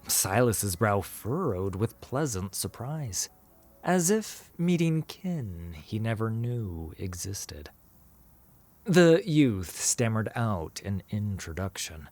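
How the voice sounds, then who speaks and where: Low, unhurried male story narrator. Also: very animated, darkish tone, faint music behind.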